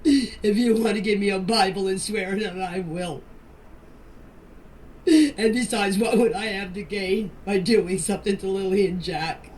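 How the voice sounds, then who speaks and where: An older woman speaks with a slightly low voice in an insistent, plain-spoken way, as if pleading her case in a group chat. The amateur recording places her away from the mic, with some faint background noise. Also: measured pace, long pauses, darkish tone. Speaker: grandmother answering questions in a group voice chat. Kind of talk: emotional personal statement in voice messages. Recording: distant, noisy amateur voice-message recording.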